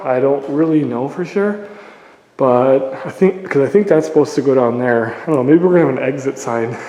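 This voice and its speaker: A man's voice: medium pitch, dark tone. A young man chatting casually as he walks through his unfinished house, talking into his phone camera, rambling with unsure asides and a sore throat from paint fumes. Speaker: vlogger touring his house under construction. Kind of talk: casual walkthrough vlog. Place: empty house interior with bare walls. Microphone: phone camera held by the speaker. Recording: echoey empty rooms.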